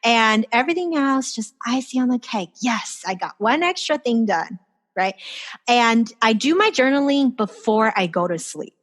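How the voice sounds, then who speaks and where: An upbeat woman coaching listeners as a podcast guest, her voice sitting a little high. She is encouraging and tags "right?" onto her points. She joins remotely. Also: animated, moderate pace. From far from the host's country. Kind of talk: casual interview chat. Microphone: remote call.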